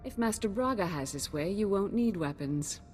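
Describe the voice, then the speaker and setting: A woman's voice: mid-range voice, lively intonation, moderate pace, darkish tone, long reverb tail, slight background noise, close to an everyday voice. An actress playing a composed, grave female Jedi grand master in a video game. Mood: composed, authoritative. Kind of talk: video game cutscene acting.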